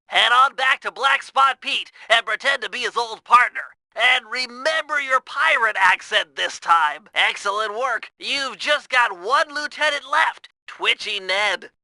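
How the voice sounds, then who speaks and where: A man's voice: very high voice, creaky, pushed and bright, dry recording, full character voice. An actor playing a brainy, fussy young male turtle inventor in a video game. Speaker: game character voice.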